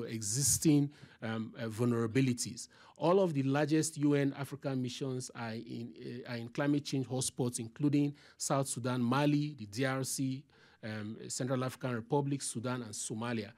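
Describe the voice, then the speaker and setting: A middle-aged man presenting on a conference panel into a table microphone, halting, with many fillers and repeated words. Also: medium pitch, a little mellow.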